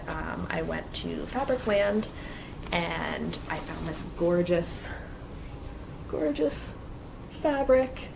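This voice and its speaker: A woman's voice: on the low side, gravelly, noisy background. A woman vlogging about her cross-stitch projects in a casual, rambling way with lots of fillers, sounding a little stuffy from allergies. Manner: chatty, rambling, many fillers. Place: home basement craft area.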